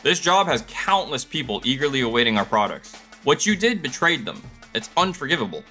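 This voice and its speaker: Man acting stern, forceful company president. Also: medium pitch, very animated, a little fast. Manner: stern, admonishing. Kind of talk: animated manga dub acting. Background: background music.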